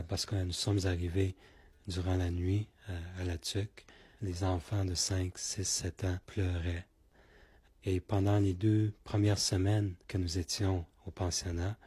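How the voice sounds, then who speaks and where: A man whose first language is Cree, giving slow, halting testimony as a survivor. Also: deep voice, dark tone, slightly rough, no echo. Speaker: residential school survivor.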